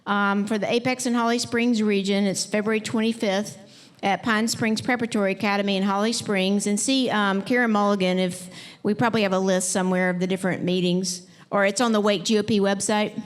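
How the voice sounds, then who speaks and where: A woman's voice: mid-range voice, fairly even intonation, brisk. A woman hosting an event makes informal announcements in a conversational, unscripted way. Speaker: event host.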